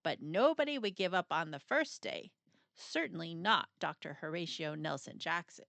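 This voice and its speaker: Female storybook narrator: lively, swooping intonation, dramatic pauses, playful emphasis, very dry close sound. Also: on the low side, creaky, no room sound. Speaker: storyteller reading a children's book aloud. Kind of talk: expressive scripted picture-book narration.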